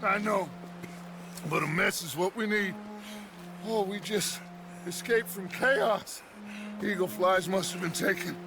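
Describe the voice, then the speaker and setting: An actor voicing a grandiose middle-aged American outlaw gang leader in a video game, with a theatrical, oratorical delivery. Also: male voice, high-pitched, measured pace, vocal fry, pauses often, over music. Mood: low-key, reassuring. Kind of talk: dramatic video game acting.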